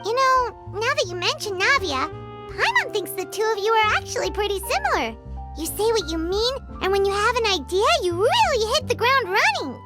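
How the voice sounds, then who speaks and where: A woman's voice: extremely high pitch, big pitch swings, normal speed. A woman voicing a tiny floating female companion, bubbly and childlike, who talks about herself in the third person. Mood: chatty. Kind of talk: video game voice acting. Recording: game audio with music behind. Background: game music.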